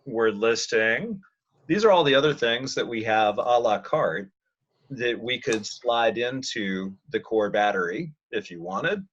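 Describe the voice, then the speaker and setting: A man leading a casual work conference call, thinking aloud as he presents and often pausing to restart his sentences. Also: mid-range voice, animated, fairly bright tone, breathy edge. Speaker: meeting leader presenting slides. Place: online video conference call. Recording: muffled, lo-fi conference call audio.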